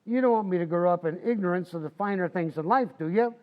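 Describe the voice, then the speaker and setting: A man acts out a folksy, wisecracking husband in an old radio comedy. His voice sits high, cocky and stubborn. The stage recording is a bit muffled. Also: fast talker, close to an everyday voice. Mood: indignant, pleading. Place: community playhouse stage, live recreation.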